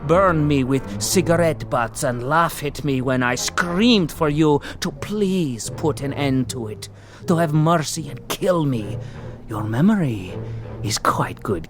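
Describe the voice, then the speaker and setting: An actor playing a former camp prisoner in a radio drama, speaking in a solemn, measured, bitterly ironic way as he coldly accuses. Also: mid-range voice, lively intonation. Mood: cold menace. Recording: music under the voice. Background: dramatic underscore music.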